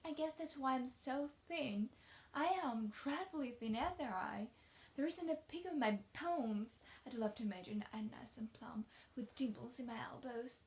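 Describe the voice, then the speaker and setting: A woman's voice: high voice, normal speed. A woman voicing a talkative, imaginative young orphan girl from a storybook, effusive and dreamy. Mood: wistful, confiding.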